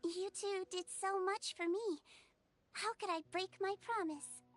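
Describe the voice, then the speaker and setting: A woman voicing a small, gentle, youthful nonhuman girl doctor in a video game, with an earnest, measured manner. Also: very high-pitched, fairly even intonation, soft background music. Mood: earnest, grateful.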